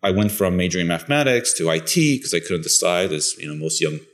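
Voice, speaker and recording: A man's voice: sits low, very animated, quick pace. A middle-aged man from Bulgaria, a podcast co-host, chatting casually and telling his background with frequent restarts. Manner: conversational storyteller with restarts.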